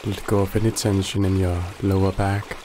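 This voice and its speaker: A man guiding a body scan meditation in a calm, gently instructive way with long pauses. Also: deep voice, background noise. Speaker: meditation guide. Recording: loud running water behind the voice. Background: trickling stream water ambience.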